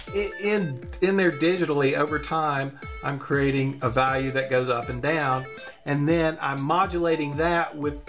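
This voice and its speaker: A man giving an informal hands-on electronics demonstration, explaining as he goes and thinking aloud. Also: fairly high pitch. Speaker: electronics tutorial presenter. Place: at a desk indoors.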